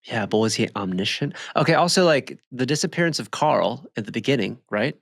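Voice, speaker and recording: A man chatting casually as a podcast co-host, critiquing in an animated way. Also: medium pitch, brisk, creaky, dry recording, clean studio sound. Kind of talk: casual chat and banter.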